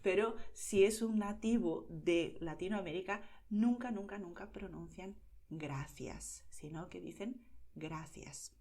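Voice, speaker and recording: A woman from Spain with a Madrid accent teaching Spanish pronunciation, demonstrating words carefully, sometimes softly, and checking in with "¿vale?". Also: on the low side, takes it slowly. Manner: demonstrates words, often softly whispered, asks "¿vale?" constantly. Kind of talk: slow, careful pronunciation lesson.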